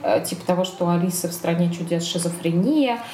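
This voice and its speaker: A woman's voice: fairly low pitch, fast, mellow. A woman co-hosting a casual discussion, explaining things in a hesitant way as she searches for words. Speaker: podcast co-host. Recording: roomy, echoey, faint background noise.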